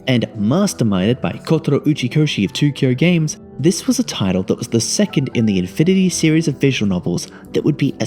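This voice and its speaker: A man's voice: medium pitch, darkish tone. A man reading a scripted video game review as narration.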